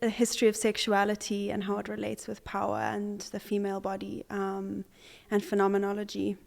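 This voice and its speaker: A young woman from Zimbabwe talks as an artist being interviewed. She sounds hesitant and thoughtful, pausing often and restarting sentences. Her pitch sits in the middle range. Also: completely flat delivery, creaky. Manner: hesitant, many fillers and restarts. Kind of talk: conversational interview.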